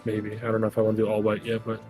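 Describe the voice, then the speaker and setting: A man's voice: lowish voice, monotone, very fast. A man chatting casually while playing a game with a friend, rambling and repeating words as he thinks aloud. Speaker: co-player. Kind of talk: casual gaming chat. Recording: music playing behind.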